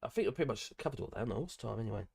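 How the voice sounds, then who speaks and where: An older man with grandchildren, hosting a casual live stream, hoarse from a cold and chatting in a rambling way with many fillers and restarts. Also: medium pitch, lively intonation, rapid-fire, darkish tone. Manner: rambling, chatty, with frequent fillers and self-corrections.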